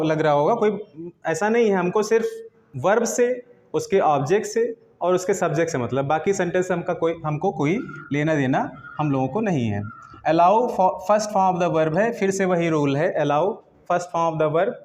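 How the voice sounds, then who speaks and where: A man with a fairly high voice and an Indian accent speaks as a grammar teacher. He explains step by step, repeats key phrases and poses short questions before answering them. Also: a little mellow. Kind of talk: classroom lesson, explaining step by step in Hindi mixed with English terms.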